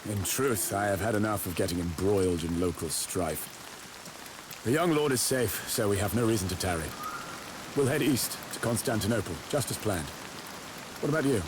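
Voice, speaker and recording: A man's voice: on the low side, animated, normal speed, close to an everyday voice. A man playing a grave, weary knight lord who leads a quest in a game cutscene, with formal speech. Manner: solemn, formal. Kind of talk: dramatic game cutscene acting. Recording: rain and clear background noise.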